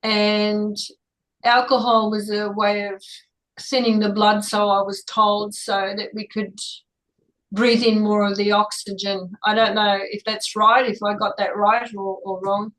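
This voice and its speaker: Woman from Germany, native German speaker, average pitch, reflective and tentative participant in a casual discussion. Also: level tone, a little slow, a bit bright, low-quality recording. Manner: tentative, thinking aloud.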